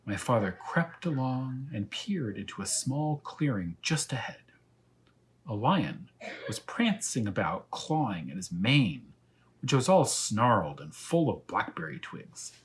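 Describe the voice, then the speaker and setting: A man reading a children's story aloud as a teacher of young pupils, in animated narration. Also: medium pitch, unhurried, breathy edge.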